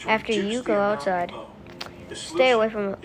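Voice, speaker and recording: A woman with a medium-pitched voice delivers a scripted police announcement. She reads haltingly, like an amateur, restarting phrases as she goes. Crowd noise is clearly audible behind her. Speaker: police spokesperson in a mock emergency broadcast.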